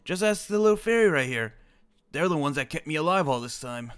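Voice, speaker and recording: A man's voice: on the high side, expressive, brisk, fairly bright tone, clean tone. A young man roleplaying an old immortal wizard, rambling and restarting his sentences. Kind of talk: in-character roleplay chat.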